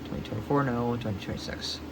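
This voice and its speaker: A man thinking aloud in a slow, halting way while setting up a device, with many pauses and okays. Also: mid-range voice. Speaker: hobbyist vlogger unboxing a weather radio. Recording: loud background noise.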